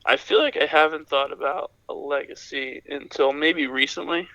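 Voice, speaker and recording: A man with a raspy voice from being sick, chatting casually and hesitantly as a podcast guest, with dry, self-deprecating humor. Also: a little high, fairly even intonation, normal speed, bright, forceful tone, no room sound, muffled recording. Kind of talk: casual chat, reflective.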